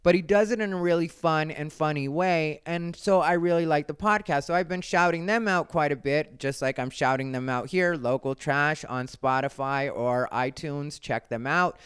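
A man's voice: a little high, moderate pace, few pauses, fairly bright tone, clean tone, dry recording, clean studio sound. An American man from Arizona talking in a casual, rambling and conversational vlog style, with emphatic moments. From Arizona, United States. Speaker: comedian and show host. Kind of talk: casual solo vlog chat.

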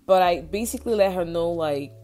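A woman's voice: on the low side, brisk, a little mellow. A young woman co-hosting a casual talk show, speaking earnestly and rambling with lots of filler words. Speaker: podcast co-host. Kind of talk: casual chat.

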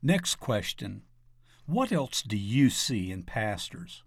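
A man narrating a scripted question-and-answer article, reading deliberately and announcing each part as an article reader. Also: lowish voice, sing-song, breathy edge, no room sound, clean studio sound.

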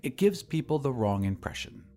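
A prim and proper woman, as a male narrator puts her on, speaks earnestly in an audio drama. Her pitch moves about in a lively way. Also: normal speed, soft background music. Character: prim woman who corrects people's wording.

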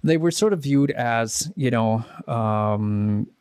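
A man's voice: medium pitch, takes it slowly, a little mellow, clear, resonant tone, no echo, clean studio sound. A man in his thirties, born in the Czech Republic, chatting as a musician guest in an interview, rambling through stories with many restarts and fillers. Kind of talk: casual interview chat.

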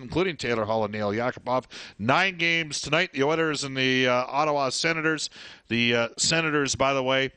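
A male sports radio host with a bright, forceful voice at a middling pitch. He delivers scores talk and sponsor reads into a dry, close studio sound. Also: clear, resonant tone, no echo.

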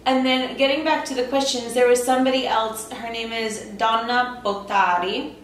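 A woman speaks with fairly high pitch as a guest in a casual interview. She tells stories in an animated way, full of filler words. Her voice sounds echoey and a little far from the mic, with faint noise behind it. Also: moderate pace. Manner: animated storyteller with frequent "like" fillers. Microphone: podcast microphone across a table.

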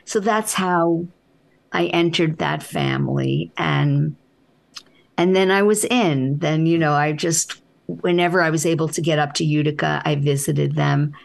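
A woman author with a soft voice, answering interview questions in an unhurried, reflective way with halting restarts. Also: deep voice, vocal fry.

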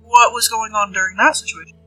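A woman's voice: a little high, fairly even intonation, brisk, pushed and bright, low-quality recording. A woman playing an earnest police detective in a role-play drama, asking probing questions politely and rephrasing them tentatively as she goes. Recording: music under the voice.

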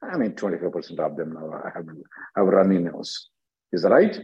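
Animated man giving brisk call-in legal advice. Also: low voice. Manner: brisk, probing, repeats phrases. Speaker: immigration attorney hosting a call-in. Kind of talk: question-and-answer conference call advice. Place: conference phone call. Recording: dull lo-fi conference call audio.

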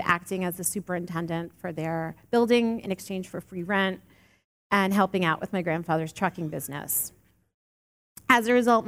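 Woman in her late thirties preaching, earnest with gentle humor, reverberant church sound. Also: lowish voice. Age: late 30s. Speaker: lay preacher. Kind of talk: sermon. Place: church sanctuary.

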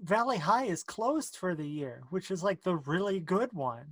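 A woman's voice: on the low side, animated, moderate pace, crowd noise. A woman co-hosting a casual chat in a blunt, dry, sweary manner. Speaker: podcast co-host.

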